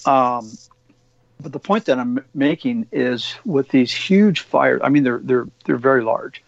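A man's voice: mid-range voice, lively intonation, unhurried, vocal fry, no room sound, muffled recording. A man from Oregon co-hosting a casual discussion, rambling with many fillers and restarts.

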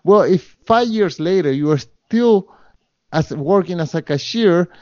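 A man's voice: high voice, expressive, unhurried, darkish tone, no room sound, lo-fi sound. A man with a Spanish accent, a guest in an interview, talking earnestly with many fillers and restarts. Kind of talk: interview conversation.

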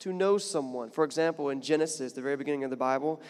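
A man's voice: mid-range voice, fast, a little mellow, in a large hall. A man preaching a sermon in a chatty, conversational way, building to emphatic points and often repeating words. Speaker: pastor.

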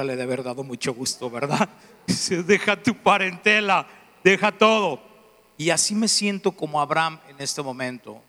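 A man's voice: fairly high pitch, bright, forceful tone. A Mexican man, a church administrator, giving an earnest testimony and repeating key phrases. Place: church hall. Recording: reverberant church hall.

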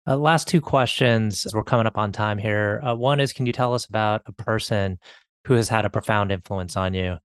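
A man's voice: fairly low pitch, a little fast, clean tone, no room sound, studio quality. A man hosting an interview podcast, asking short, polite questions. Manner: brief, polite, wrapping up. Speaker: podcast host.

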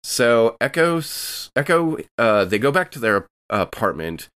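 A man's voice: mid-range voice, big pitch swings, a little slow, a bit bright, clean tone, no echo, clean studio sound. A man playing a tabletop role-playing game, describing his character's actions in a rambling, laid-back way. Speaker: player.

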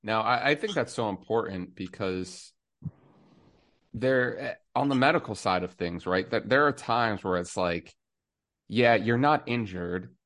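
A man's voice: fairly low pitch, animated, a little slow, fairly bright tone. A young man co-hosting a podcast, upbeat and casual as he interviews a guest. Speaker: podcast co-host.

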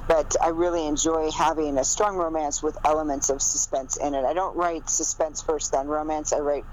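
A woman's voice: sits low, fairly even intonation, normal speed, a little mellow, poor audio. Chatty American woman, rambling storyteller. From American Midwest. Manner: chatty, rambling, self-correcting. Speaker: guest, romantic suspense novelist. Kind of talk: casual interview chat. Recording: faint background noise.

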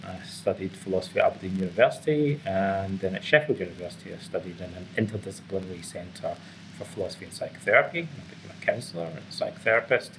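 A man's voice: deep voice, quick pace, fairly bright tone. A middle-aged Scottish man giving explanatory, academically phrased answers as an interview guest. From Scotland. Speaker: interview guest, author and psychotherapist. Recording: clearly noisy background ambience.

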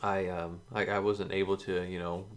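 A young man co-hosting a casual podcast, telling stories in a halting, chatty way. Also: low-pitched, level tone. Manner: chatty storyteller, halting with fillers. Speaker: podcast co-host. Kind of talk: casual sports chat.

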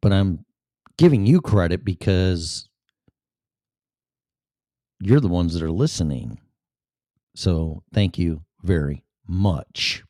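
A man's voice: deep voice, expressive, slow pace, pauses often, dark tone, dry recording, clean studio sound. A man with a Chicago accent hosting his live-stream show in a chatty, profane, self-deprecating ramble. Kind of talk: casual rambling monologue.

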